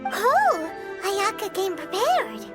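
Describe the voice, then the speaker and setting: A woman voicing a tiny, perky, food-loving fairy companion in an anime game, with a squeaky childlike voice and chirpy manner. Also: very animated, slow pace, music in the background, heavily acted. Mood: curious. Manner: chirpy, refers to self in third person.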